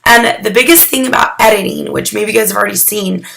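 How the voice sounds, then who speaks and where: A hoarse young woman getting over a cold, talking casually through a photography critique, rambling with lots of "um"s and restarts. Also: medium pitch, quick pace, fairly bright tone, a little hiss or hum, rough recording. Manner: chatty and rambling, with many "um"s and restarts. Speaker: photography mentor critiquing student work. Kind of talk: casual recorded critique addressed to students.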